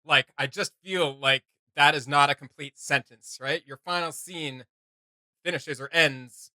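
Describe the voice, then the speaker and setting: A man gives casual reaction commentary in a conversational, enthusiastic way. His voice is crisp-edged and bright, a bit higher than most men's, recorded very dry. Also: a little slow, no room sound. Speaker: reaction video host.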